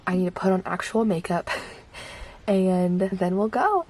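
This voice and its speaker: A woman's voice: medium pitch, unhurried, darkish tone. A woman in her twenties vlogging in a casual, candid way, her voice still recovering from being lost. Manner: chatty, candid, lots of "like". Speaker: vlogger and teacher. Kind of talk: casual vlog chat.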